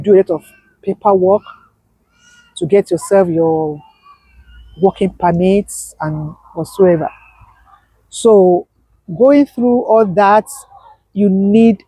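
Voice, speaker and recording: A woman talks as a guest in an interview, her voice husky and soft-edged with a mellow, dark tone. She hammers her points home by repeating them. The sound is close and dry. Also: mid-range voice, dry recording, poor audio. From Nigeria. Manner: emphatic, repeats key points. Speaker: guest, business owner. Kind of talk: conversational interview.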